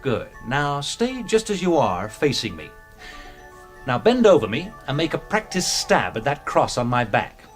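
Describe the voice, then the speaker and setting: A man's voice: fairly high pitch, very animated, measured pace, faint music behind. An actor with a British accent playing a bossy, theatrical, wily doctor who explains like a showman in a radio drama. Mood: brisk, instructing. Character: commanding, theatrical, wily gentleman doctor turned amateur sleuth.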